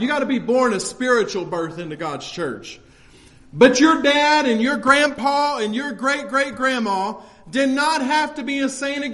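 High-pitched American preacher, emphatic and folksy. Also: male voice. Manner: emphatic, exhortative, folksy delivery. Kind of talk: impassioned church sermon.

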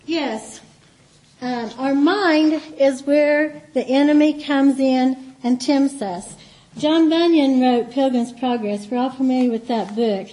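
A woman's voice: high-pitched, low-quality recording. Light-voiced woman giving a church talk. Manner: warm, chatty storytelling with soft laughs and asides. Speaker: guest speaker. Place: meeting room with a board.

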